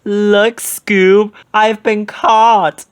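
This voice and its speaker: Man putting on a high voice, goofy young guy, jittery, always hungry, excitable. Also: extremely slow, smooth.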